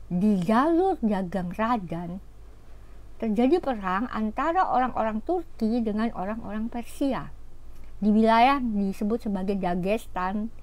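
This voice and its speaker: A woman tells history like a storyteller in an informal lecture. Her voice sits at a medium pitch for a woman. She explains conversationally, breaking off for rhetorical asides. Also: a little mellow.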